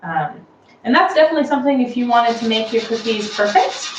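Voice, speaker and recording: A woman's voice: a little high, lively intonation, brisk, dull audio. A woman giving a casual, chatty, unscripted baking how-to, explaining each step as the host with light self-deprecation. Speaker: host of a home baking tutorial. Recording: roomy, distant mic with clear background noise.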